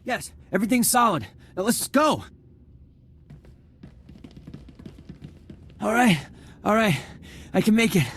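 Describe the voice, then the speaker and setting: A man's voice: high-pitched, expressive, moderate pace, a bit bright, slightly rough, full character voice. A man voicing a nervous, eager computer slicer in a video game, flustered and stammering as he mutters to himself. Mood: relieved, jittery.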